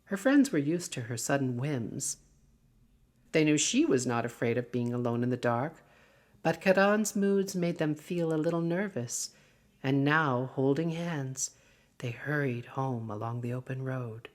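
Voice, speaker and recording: A woman narrating a literary short story in an expressive, dramatic storytelling manner. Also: rumbling low, a little slow. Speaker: story reader.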